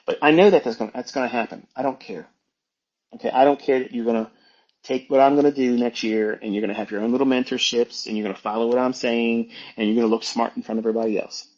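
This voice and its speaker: A man's voice: mid-range voice, fairly even intonation, quick pace, darkish tone, highs cut off. A man giving an informal, unscripted lecture as an educator, blunt and emphatic, repeating phrases for stress. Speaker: trading educator hosting an online audio talk.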